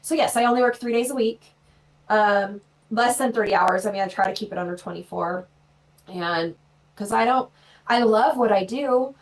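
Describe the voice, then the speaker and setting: This is a woman in her forties talking as a guest on a live show. She is blunt and brash and pokes fun at herself. Her voice sits at an ordinary middle pitch. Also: moderate pace, low-quality recording. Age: 40s. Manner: blunt, brash and self-mocking. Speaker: podcast guest. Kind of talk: casual live chat.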